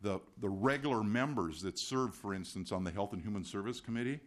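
A man, a state senator, speaking in legislative floor debate and raising procedural concerns in a measured way. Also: fairly low pitch, a little hiss or hum.

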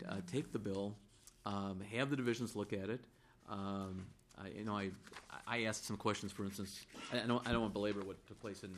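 A middle-aged man with a hoarse, roughened voice and a fairly low pitch speaks in a legislative chamber. He talks deliberately and builds on a colleague's point, with a faint room hum behind him. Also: a bit bright. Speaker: state senator. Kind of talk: legislative floor debate.

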